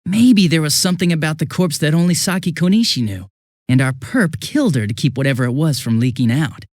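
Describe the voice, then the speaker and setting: An actor playing a bumbling, chatty young police detective in a video game dub, with an American accent and a sheepish, stammering, casual manner. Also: male voice, a little high, lively intonation, brisk, a little mellow, dry recording, studio quality. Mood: musing, casual.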